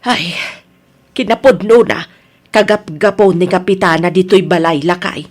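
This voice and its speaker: A woman's voice: on the low side, moderate pace, natural voice. A woman with an Ilocano Filipino accent playing an anxious older mother in an audio drama. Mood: anxious, resigned. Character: anxious older mother, reporting trouble to husband.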